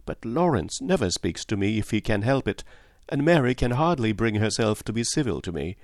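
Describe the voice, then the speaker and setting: A man's voice: on the low side, sing-song, fairly quick, no room sound, studio quality. A male narrator voicing a kind, candid young English woman who talks in an earnest, confiding, slightly plaintive way. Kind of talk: audiobook character voice.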